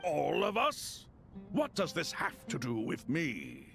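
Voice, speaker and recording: Animated, creaky ancient retainer. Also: male voice, fairly high pitch, faint music behind, full character voice. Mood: indignant. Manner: measured, archaic, ceremonious phrasing. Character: ancient solemn retainer spirit, formal and judicious. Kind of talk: video game voice acting.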